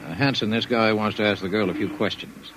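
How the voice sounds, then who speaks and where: Low-voiced, gruff police lieutenant played by an actor. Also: male voice, fast talker, fairly bright tone, natural voice. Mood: flat. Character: gruff, matter-of-fact male police lieutenant. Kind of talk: 1950s radio drama acting. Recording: noisy 1950s radio broadcast.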